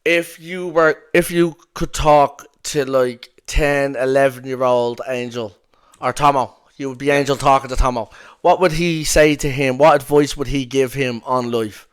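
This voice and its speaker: A man's voice: medium pitch, steady tone, normal speed, clear, resonant tone. A young man with a strong Dublin accent, hosting a casual podcast chat, rambling and swearing with lots of fillers. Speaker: podcast host.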